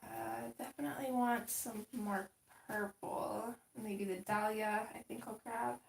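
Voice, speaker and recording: Livestream host, woman, slightly high voice, chatty, getting over a cold, roomy echo. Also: steady tone, measured pace, gravelly, a little hiss or hum. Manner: chatty, greets viewers by name, thinks aloud. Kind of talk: casual livestream chat while coloring.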